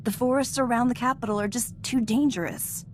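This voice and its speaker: A woman's voice: fairly high pitch, fairly even intonation, a little fast, slight background noise, close to an everyday voice. An actress playing a modest, eager young female Jedi apprentice in a video game, earnest and a little hesitant in a conversational way. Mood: thoughtful, earnest. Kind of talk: scripted video game voice acting.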